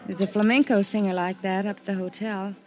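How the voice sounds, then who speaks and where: A woman's voice: medium pitch, fairly even intonation, brisk, darkish tone, some chatter behind, lightly acted. An actress playing a bored, chatty young woman on vacation in a 1950s radio drama, casual and world-weary. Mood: bored, wistful. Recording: dull, muffled vintage radio recording. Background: guitar music.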